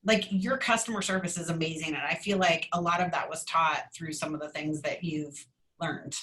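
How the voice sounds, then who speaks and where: A woman's voice: low voice, steady tone, normal speed, a bit bright, slight background noise. A woman hosting an interview in a casual, conversational way. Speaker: interview host.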